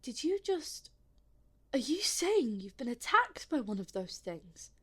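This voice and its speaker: An actress plays a sarcastic woman in an audio drama, wary and lonely yet plucky. Her voice sits fairly high. The delivery is naturalistic and informal, with feeling under the wry edge. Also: sing-song, measured pace, breathy edge, heavily acted. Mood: alarmed, frustrated.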